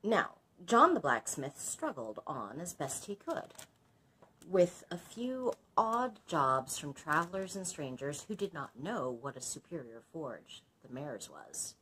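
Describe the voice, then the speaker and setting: Low-voiced, expressive woman reading a storybook. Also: takes it slowly, breathy edge, low-quality recording. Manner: instructive, explains old words to young listeners. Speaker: librarian reading a children's book aloud. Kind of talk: expressive storybook narration with explanatory asides.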